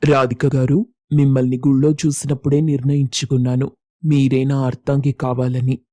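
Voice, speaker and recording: A man's voice: mid-range voice, level tone, moderate pace, mellow, no echo, close to an everyday voice. A man playing a rich, respectful young suitor smitten with love in a moral story. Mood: smitten, sincere. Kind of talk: Telugu moral story dialogue.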